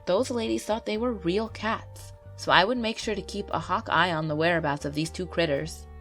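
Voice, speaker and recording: Woman with a cold, stuffy nose, reading ghost stories low and soft, faint music behind. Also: moderate pace. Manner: gentle, earnest storytelling. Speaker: YouTube ghost story narrator. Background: faint background music.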